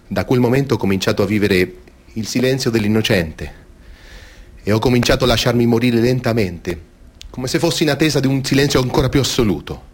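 An actor playing a grave former seminarian giving wounded testimony in a solemn, measured, theatrical monologue, in speech. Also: male voice, mid-range voice, lightly acted. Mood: grave, pained.

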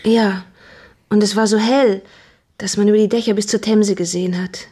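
An actress playing a frightened young housemaid in a radio drama, giving breathless, halting eyewitness testimony in colloquial speech. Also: female voice, mid-range voice, fairly even intonation, dark tone, husky, close to an everyday voice. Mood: uneasy, hesitant. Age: young adult.

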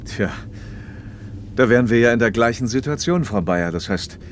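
An actor playing a paternal, probing factory manager in a radio play, speaking in a measured, slightly didactic way. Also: male voice, medium pitch, animated, slight background noise, close to an everyday voice. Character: socialist factory manager, paternal, probing, talkative male official. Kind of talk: naturalistic German radio play dialogue.

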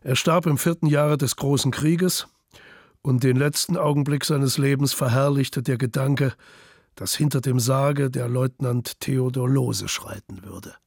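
Raspy creaky guy narrating a novel for radio, dry studio sound. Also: medium pitch, fairly even intonation, a little slow, no room sound. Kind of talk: literary radio play narration.

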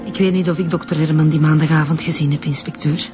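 An actress with a Flemish accent playing a firm, defensive, grieving mistress in a radio drama. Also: female voice, sits low, fairly even intonation, fast, over music, natural voice. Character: dead doctor's defensive, grieving secretary and mistress.

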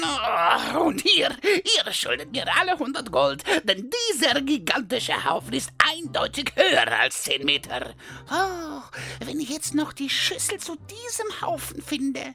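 A woman voicing a greedy, excitable little gloater in a comedy fantasy audio drama, gleeful and exclaiming. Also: sits high, a little slow, gravelly, soft background music, heavily acted.

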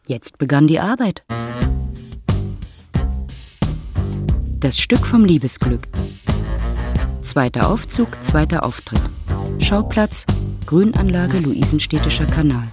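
An actress as a wry, husky storyteller, narrating a literary radio drama as if reading stage directions. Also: female voice, low-pitched, sing-song, unhurried, music in the background. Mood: announcing.

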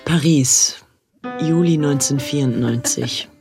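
A woman giving measured, clipped scene-setting narration in a radio drama. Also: rumbling low. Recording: very loud music behind.

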